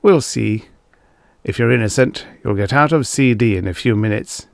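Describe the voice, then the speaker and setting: A man's voice: mid-range voice, normal speed, gravelly, no room sound, lightly acted. A man reading as a curt, cynical cop. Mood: stern. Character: tall, gangling, cynical, gruff male cop. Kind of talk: audiobook character dialogue.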